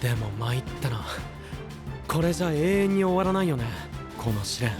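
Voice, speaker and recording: A man acting a youthful, sensible anime sidekick who looks out for his friend. His delivery is earnest and very animated over prominent music, in a scripted audio drama. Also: on the high side, normal speed, lightly acted. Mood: weary, resolute. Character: caring, sensible young male companion of a hero.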